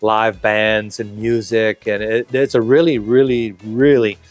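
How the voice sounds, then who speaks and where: Low-voiced man in his fifties. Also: a little slow, soft background music. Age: 50s. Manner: relaxed, talkative, lots of fillers and restarts. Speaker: interviewee. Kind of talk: casual interview. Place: outdoor covered patio, tropical garden.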